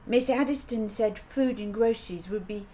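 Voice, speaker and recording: A woman's voice: fairly high pitch, moderate pace, mellow, voice pushed up, natural voice. A woman voicing an earnest little girl in a storybook, explaining things in childish run-on sentences. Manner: childish, breathless run-on telling. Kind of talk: children's audiobook character voice. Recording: audible background noise.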